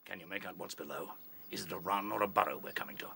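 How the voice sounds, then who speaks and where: A man's voice: sits low, quick pace, gravelly, bright, forceful tone, breathy edge, full character voice. A man playing a ruthless, domineering rabbit general in a radio drama, brusque and commanding. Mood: stern, calculating.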